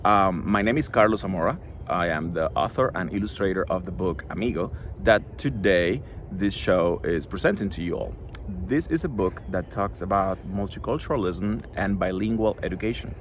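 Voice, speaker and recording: A middle-aged man from Cuba, a Spanish native speaker with a Cuban accent, talks earnestly to a school audience about his children's book, pausing often with fillers. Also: low-pitched, slight background noise. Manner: earnest, halting, many fillers. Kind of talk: recorded address to a school audience.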